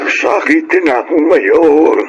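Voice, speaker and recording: A frail old Filipino man in a radio drama, apologetic and very slow, halting between phrases. The sound is muffled, with music clearly behind him. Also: fairly high pitch, lively intonation. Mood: ashamed, grateful. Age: older. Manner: hesitant, halting. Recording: muffled audio under loud music.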